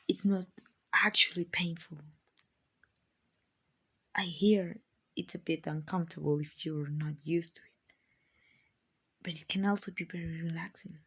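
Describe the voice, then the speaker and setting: A woman acting as a kind, gentle female vampire who owns a mansion, in a hushed, breathy, reassuring ASMR roleplay. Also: on the low side, exaggerated voice. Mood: gentle, persuasive. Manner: hushed, breathy, tender and reassuring, often near whispering.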